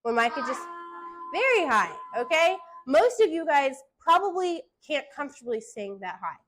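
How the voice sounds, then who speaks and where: A woman voice teacher giving classroom singing instruction, hushing her students and checking in with "okay?" after nearly every point. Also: high voice, very animated, moderate pace, over music.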